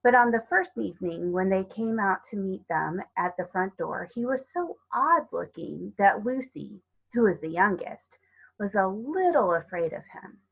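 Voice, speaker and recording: A woman narrating a children's story aloud in a measured, expressive read-aloud way. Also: mid-range voice, vocal fry, husky, narrow, tinny audio. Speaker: storybook reader.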